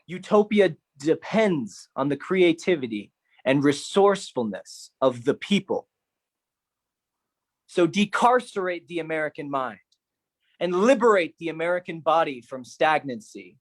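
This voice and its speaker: A man performing a theatrical spoken manifesto, declamatory and solemn, with deliberate phrasing. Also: on the high side, lively intonation. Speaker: solo performer.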